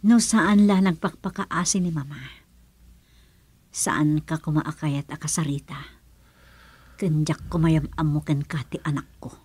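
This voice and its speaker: A woman's voice: sits low, fairly even intonation, measured pace, long pauses, a little mellow, husky, dry recording. A woman with a Filipino accent playing a bitter, wounded woman in an Ilocano radio drama, anguished and reproachful.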